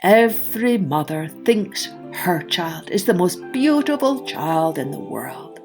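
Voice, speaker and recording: A woman's voice: fairly low pitch, sing-song, slow, over music. A woman voicing a haughty, imperious male Creator god in a children's storybook tale. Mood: conceding. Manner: imperious, pronouncing.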